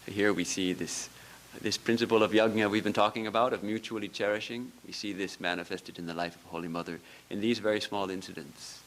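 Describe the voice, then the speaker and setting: A man with a fairly low voice gives a temple lecture as a monk. He tells small stories with soft laughter and builds his points through earnest repetition. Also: steady tone, a little fast, slight background noise, rough recording. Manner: storytelling, with soft laughs and earnest repeated phrases. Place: temple hall.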